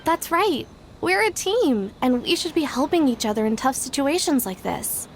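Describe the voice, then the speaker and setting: A woman's voice: high voice, big pitch swings, moderate pace, a little hiss or hum. A woman voicing an eager young female publishing staffer in a video game, helpful and dutiful.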